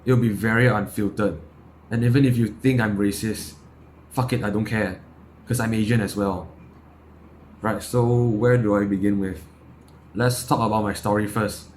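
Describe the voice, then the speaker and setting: A man's voice: on the low side, steady tone, normal speed, pauses often, darkish tone. Young Malaysian man with noisy audio. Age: late teens. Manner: blunt, sweary, emphatic. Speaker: self-improvement vlogger. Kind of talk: unscripted personal opinion talk to camera.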